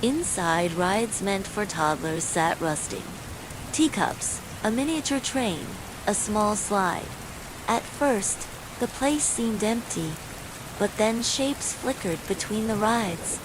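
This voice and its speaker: A woman reading a scripted bedtime horror story in a measured, suspenseful way, as a storyteller. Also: mid-range voice. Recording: steady loud rain noise throughout. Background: falling rain.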